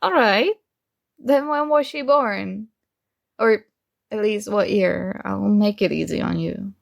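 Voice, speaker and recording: A woman playing a secretly jealous, teasing roommate in an intimate one-sided ASMR roleplay, with hesitant pauses. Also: medium pitch, creaky. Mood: skeptical, prodding. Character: secretly jealous female roommate, teasing, hurt but feigning indifference.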